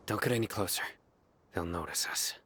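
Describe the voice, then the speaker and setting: A man's voice: deep voice, fairly quick, sharp tone. A man playing a watchful, serious male guard in a video game, speaking tersely. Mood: wary. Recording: faint ambient noise behind.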